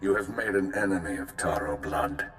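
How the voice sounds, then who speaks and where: A deep-voiced old warrior in a video game, grave and commanding. He speaks solemnly and formally of honour, with faint music underneath. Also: moderate pace, fairly bright tone. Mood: stern. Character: grave old honour-bound Mandalorian warrior, stern male veteran.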